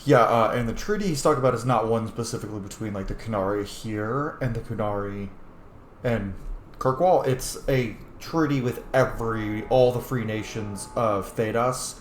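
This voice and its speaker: A man's voice: fairly low pitch, normal speed. Casual man commenting in an echoey room. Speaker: gamer commenting on playthrough. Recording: roomy echo, faint noise.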